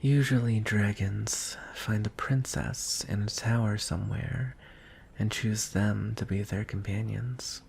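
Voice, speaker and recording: Lonely, courtly dragon suitor acted by a man, fantasy ASMR; hushed, lowish creaky voice over faint noise. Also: steady tone. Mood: wistful, longing. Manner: soft, hushed, breathy delivery with deliberate pauses. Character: lonely, courtly male dragon wooing a prince.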